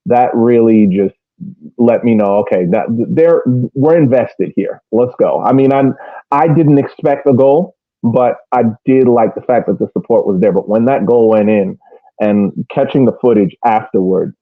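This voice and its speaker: A man's voice: medium pitch, unhurried, dry recording, phone-quality sound. A man casually recapping a match as a soccer fan podcast co-host, over thin call audio.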